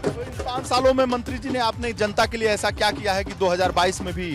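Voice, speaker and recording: Tv reporter guy, high pitched, teasing and repeating himself, music behind. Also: steady tone, fast. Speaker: field reporter. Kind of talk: on-location TV interview.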